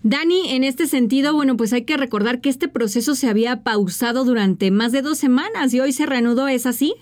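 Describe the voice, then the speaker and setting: A woman's voice: high-pitched, fairly quick. A woman from Mexico anchoring a news broadcast, presenting stories in a composed way. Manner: composed, reads statements aloud.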